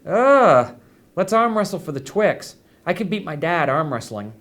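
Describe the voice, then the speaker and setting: Man putting on a whiny, congested little boy, pitched up. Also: sing-song, measured pace, a bit bright, full character voice. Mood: disgusted, bargaining. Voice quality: congested, stuffed-up. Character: small congested first-grade boy, whiny and cocky. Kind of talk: acted dialogue in an audiobook reading.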